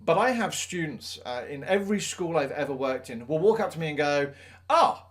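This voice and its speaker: A man's voice: a little high, animated, a little fast. A man, a maths teacher giving students earnest advice in a conversational, reflective talk full of fillers.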